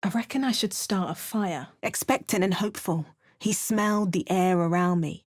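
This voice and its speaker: A woman's voice: mid-range voice, moderate pace, close to an everyday voice. A woman voicing a wry, battle-hardened adult adventurer who makes dry, sardonic asides and narrates her journey. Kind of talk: video game character barks and narration.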